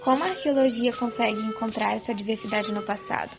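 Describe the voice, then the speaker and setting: Slightly high-voiced woman. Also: fast talker, gravelly, faint music behind. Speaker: questioner in an educational cartoon. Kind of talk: scripted educational question.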